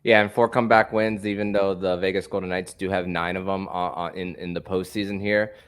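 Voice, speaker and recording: A man with a lowish voice hosts a sports podcast. He asks his questions in a halting, casual way and often restarts with fillers. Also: flat delivery, smooth. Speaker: podcast host.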